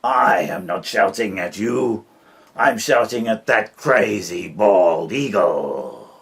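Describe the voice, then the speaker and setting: A man voicing a gruff, loud male bear in a storybook reading, shouting and drawn out. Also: low-pitched, big pitch swings, very slow, exaggerated voice. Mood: angry. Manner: shouting, bellowing.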